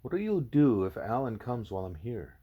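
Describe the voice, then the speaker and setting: A man's voice: mid-range voice, very animated, normal speed, husky, narrow, tinny audio. A man voicing a stubborn, frank young girl pupil in a storybook, blunt and casual, sometimes hesitant. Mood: guarded, insistent.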